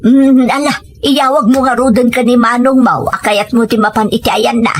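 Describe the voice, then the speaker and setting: A woman's voice: fairly high pitch, fairly even intonation, moderate pace, close to an everyday voice. A woman with an Ilocano Filipino accent playing a supportive, practical older mother in an audio drama. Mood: reassuring, practical. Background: background music.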